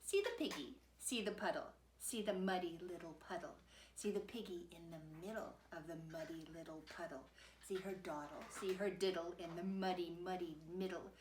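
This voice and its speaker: A woman's voice: fairly low pitch, moderate pace, darkish tone, roomy sound, slight background noise. A woman narrating a rhyming children's story in a playful, rhythmic singsong. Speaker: storybook reader.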